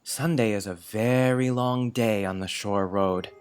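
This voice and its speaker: A woman's voice: a bass voice, measured pace, a little mellow, clean tone, soft background music. A woman playing a polite, thoughtful young boy in an audiobook drama, careful, frank and earnest. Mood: confiding. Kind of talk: scripted audiobook drama acting.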